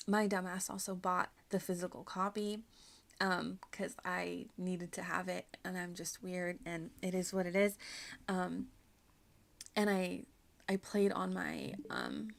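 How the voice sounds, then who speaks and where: Woman streamer with a creaky, fry-laced voice. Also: medium pitch. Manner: giggly, self-deprecating, rambling. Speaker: video game streamer. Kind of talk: casual livestream chat over gameplay.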